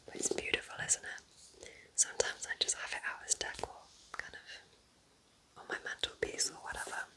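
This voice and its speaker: A woman whispers breathily in a gentle, chatty ASMR roleplay as a kind witch at home in her cabin. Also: moderate pace, rough recording. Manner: gentle, chatty, informal asides.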